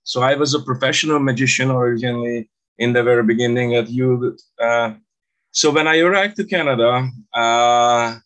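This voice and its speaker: Older hungarian guy, accented, mid pitch, telling his story in an interview, kinda halting. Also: fairly bright tone, rough recording. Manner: halting, non-native phrasing. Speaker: interview guest, stage hypnotist. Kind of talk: casual storytelling about own career.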